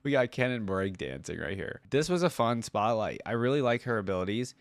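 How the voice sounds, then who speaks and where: A man's voice: medium pitch, expressive, fairly quick, dry recording, clean studio sound. A man hosting a reaction channel with casual, off-the-cuff commentary, informal and easily impressed.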